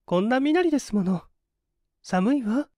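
A man's voice: very high voice, lively intonation, moderate pace, warm tone, no echo, studio quality, voice pushed up. A man voicing a timid, polite young woman in a story reading. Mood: shivering.

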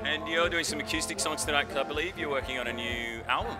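Male interviewer, forties, Australian accent. Casual on-camera chat, higher register, clear music in background. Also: fast. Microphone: handheld reporter mic.

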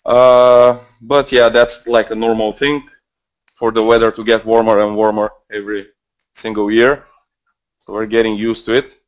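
A man's voice: lowish voice, flat delivery, moderate pace, poor audio. A Bulgarian man in his twenties with a Bulgarian accent, presenting a live trading webinar in a casual, rambling way full of fillers and restarts, speaking into a headset mic. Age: 20s. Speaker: trading mentor.

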